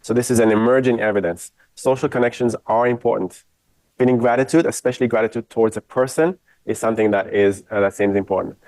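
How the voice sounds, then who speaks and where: A man from Israel lectures to a university class in a lowish voice. He talks off the cuff and states his opinions frankly. The sound is fairly dry, with little room on it. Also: fast, darkish tone, no echo, poor audio.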